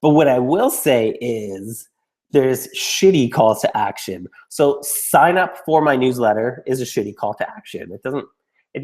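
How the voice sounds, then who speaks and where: A man getting over a cold, a little raspy and snuffly, answering student questions in a casual, frank Q&A. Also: mid-range voice, a little mellow. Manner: blunt, frank, with mild swearing and restarts. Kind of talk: casual Q&A talk.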